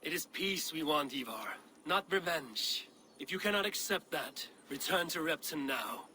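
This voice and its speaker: An actor playing a grave, level-headed Viking war leader in a video game, speaking in a measured, commanding way. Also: male voice, fairly high pitch, expressive, sharp tone, a little hiss or hum, full character voice. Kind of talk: dramatic video game acting.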